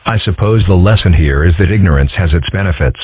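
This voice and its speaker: A man voicing a calm, dry-witted young fox boy in a fan comic dub. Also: low-pitched, quick pace, slightly rough, dry recording. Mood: deadpan. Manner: deadpan, wry. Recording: dry, tinny phone-like audio.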